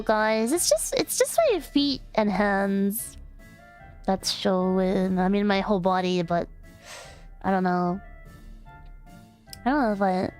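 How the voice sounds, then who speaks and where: A woman's voice: mid-range voice, clear, resonant tone. A young woman casually chatting on a livestream, giggly and rambling, with lots of fillers and restarts, playful and affectionate toward her chat. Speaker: streamer. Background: faint background music.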